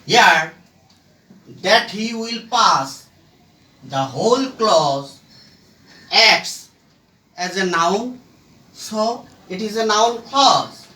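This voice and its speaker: A teacher explaining English grammar step by step, in short halting phrases broken by pauses. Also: mid-range voice, lively intonation, bright, forceful tone. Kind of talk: slow explanatory grammar lesson. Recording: roomy echo, distant microphone.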